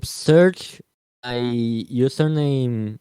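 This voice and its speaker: A young man from Mexico with a Mexican Spanish accent, chatting casually and pausing to search for words. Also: mid-range voice, dark tone, clean tone, no echo. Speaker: podcast co-host. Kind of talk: casual chat.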